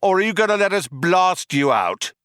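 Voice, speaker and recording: A man voicing a male alien space cop in an audiobook, shouting threats in an earnest, aggrieved, self-justifying way. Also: high-pitched, very animated, normal speed, clean tone, no echo, clean studio sound, pitched above the performer's own voice. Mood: shouted threats.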